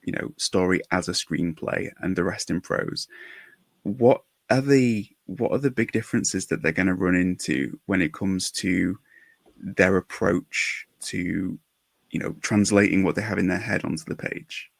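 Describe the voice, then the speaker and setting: Male podcast interviewer, lowish pitch, gravelly fry, casual questioning, dry close sound. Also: a little slow, dry recording.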